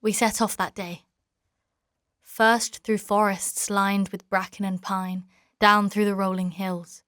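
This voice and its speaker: A woman playing a stern, devout knight in a dark fantasy audio drama, speaking and narrating in a formal, measured, archaic way. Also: medium pitch, little pitch movement, no echo, clean studio sound. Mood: solemn, reflective. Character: battle-weary female knight, stern, devout, formal, solemn narrator of her quest.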